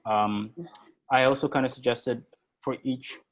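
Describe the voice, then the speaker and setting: A man's voice: medium pitch, steady tone, unhurried. A man presenting and moderating an online workshop, speaking hesitantly with many fillers and restarts.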